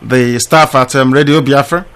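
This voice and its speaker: A man hosting a call-in radio show, putting formal, measured questions to callers. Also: mid-range voice, fairly even intonation, a bit bright, smooth.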